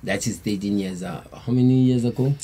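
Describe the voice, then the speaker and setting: Husky dark-voiced South African man. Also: fairly low pitch, brisk. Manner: laid-back, rambling, peppered with slang fillers. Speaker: band member, guest. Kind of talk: casual storytelling interview.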